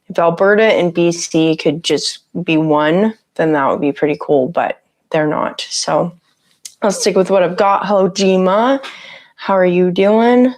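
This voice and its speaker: Raspy, slightly low-voiced woman streamer. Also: a little mellow. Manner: chatty, greets viewers by name, laughs often. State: sick with a cough. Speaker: livestream host. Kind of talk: casual livestream chat with viewers. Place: her home office, indoors. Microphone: lapel mic on her shirt.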